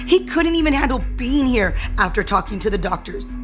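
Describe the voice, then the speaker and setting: An actress playing a proud, bitter, scheming rich wife in an audio soap opera, haughty and cutting. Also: female voice, on the high side, sing-song, a little fast, over music. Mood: haughty, accusing.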